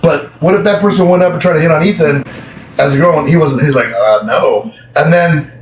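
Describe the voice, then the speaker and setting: A man giving a casual tarot reading, speculating out loud in an animated way. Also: a little high, fairly quick. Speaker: tarot reader. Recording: roomy, distant, noisy clip.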